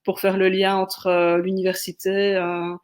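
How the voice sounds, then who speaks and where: Woman, Belgian French accent from Liège, monotone, measured explaining, webinar call. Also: medium pitch. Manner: measured, explanatory, many hesitations. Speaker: guest, sociologist and entrepreneur. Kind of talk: webinar self-introduction. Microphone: video call.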